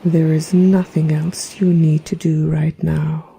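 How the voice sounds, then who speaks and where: A woman narrating a guided meditation in a soft, breathy, half-whispered voice with a gentle, soothing, hypnotic manner. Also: low voice, flat delivery, slow pace, slight background noise, poor audio. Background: relaxation music.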